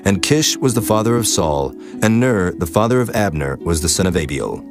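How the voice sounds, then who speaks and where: A man narrating scripture in a solemn, steady storytelling manner. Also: sits low, moderate pace, music in the background.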